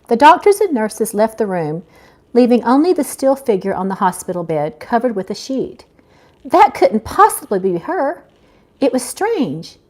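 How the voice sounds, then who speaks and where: A middle-aged woman giving a live, informal reading of her own fiction, expressive and unpolished, as an amateur. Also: on the high side. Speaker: author reading own novel aloud. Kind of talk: live amateur audiobook reading with casual asides.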